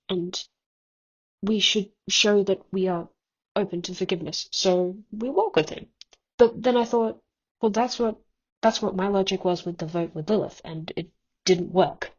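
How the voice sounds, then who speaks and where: A woman with a husky, slightly low voice delivers prepared commentary as a listener submission. The recording is dull and lo-fi, like a voice message.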